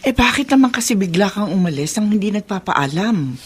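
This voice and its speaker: A woman's voice: mid-range voice, animated, a little fast, husky. An actress playing a worried mother who chides her grown daughter in a radio drama, in a motherly, reproachful way. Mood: chiding. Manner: chiding, motherly.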